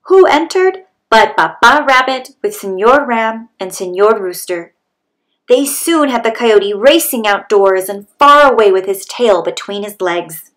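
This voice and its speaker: A woman's voice: medium pitch, lively intonation, unhurried, a bit bright. A woman reading a children's story aloud as a storybook narrator. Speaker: storybook reader.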